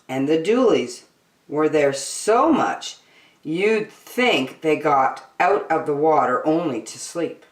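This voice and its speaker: Slow, animated woman storyteller in echoey room. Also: low voice. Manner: deliberate, phrase-by-phrase storytelling. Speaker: storytime host and reader. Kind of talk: expressive children's picture book narration. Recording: roomy echo.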